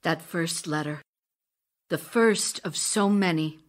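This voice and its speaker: A woman's voice: fairly low pitch, slow pace. A woman playing an ardent, sentimental stage actress in an audio drama, earnest and wistful as she recalls her romance. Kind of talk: theatrical audio drama, narrated memories and love letters.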